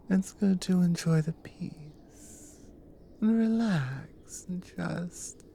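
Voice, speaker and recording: A woman playing a devoted, dominant Nordic warrior wife in an intimate ASMR audio roleplay, speaking softly and earnestly, often dropping to a whisper. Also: rumbling low, a little hiss or hum, heavily acted. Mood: tender. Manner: soft, hushed, often breathy whispering, slow and earnest.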